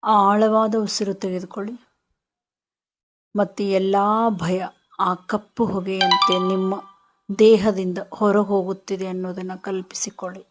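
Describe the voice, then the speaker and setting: A woman leading a guided meditation in short, soothing instructional phrases with pauses. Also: mid-range voice, flat delivery, faint music behind.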